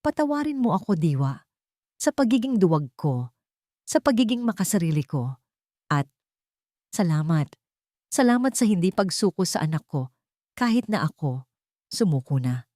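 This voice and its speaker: A grave, commanding father full of remorse, voiced by a woman. The voice sits low and speaks earnestly and haltingly, as in an audio drama. The sound is very dry. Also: very animated, warm tone, no room sound. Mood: pleading, grateful. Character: remorseful, commanding billionaire father confessing and apologizing.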